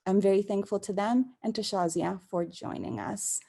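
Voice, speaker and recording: A woman hosting a webinar, giving a gracious, measured formal introduction over a video call. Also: mid-range voice, steady tone, vocal fry, warm tone, slightly rough. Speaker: host and interviewer.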